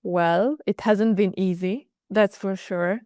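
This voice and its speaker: A woman's voice: mid-range voice, fairly even intonation, takes it slowly, darkish tone, slight background noise. A woman from Hungary, giving earnest, determined answers as an interview guest. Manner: earnest and determined, with some hesitations. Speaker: guest, internal audit director.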